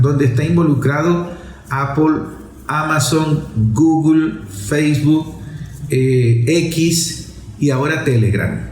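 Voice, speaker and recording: A man giving unscripted political commentary as a show host, didactic and deliberate, repeating phrases for emphasis. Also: on the high side, darkish tone. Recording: echoey room sound.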